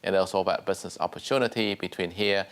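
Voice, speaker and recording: A middle-aged man from Vietnam, speaking English as a second language, hosting a casual business interview show with relaxed, halting speech full of fillers. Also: sits low. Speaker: host.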